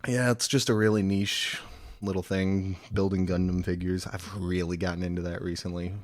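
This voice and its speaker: Young male co-host, very deep voice, dry and deadpan, close dry mic sound. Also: moderate pace, no room sound. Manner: dry, laid-back joking. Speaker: podcast co-host. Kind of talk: casual chat.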